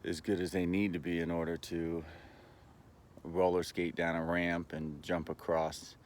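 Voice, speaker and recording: A man talking in a casual, rambling monologue, halting but earnest and opinionated, as a vlogger. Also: low voice, flat delivery, slight background noise.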